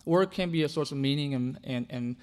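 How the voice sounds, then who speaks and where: A man's voice: a little high, fairly quick, a little mellow. A man from China, whose first language is Chinese, preaching a sermon earnestly, often restarting and repeating words. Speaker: pastor.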